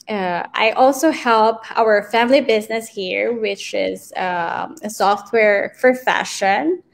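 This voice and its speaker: A woman from the Philippines, a guest on a live video talk, introducing herself calmly. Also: mid-range voice, vocal fry. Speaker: guest, business owner. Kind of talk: casual live video chat. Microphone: video call.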